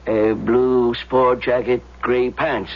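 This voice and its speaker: An actor playing a shifty working-class motel manager, husky and evasive, with ungrammatical street talk, in 1970s radio drama style. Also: male voice, mid-range voice, flat delivery, slow pace, natural voice. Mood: puzzled, hesitant. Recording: dull vintage radio sound.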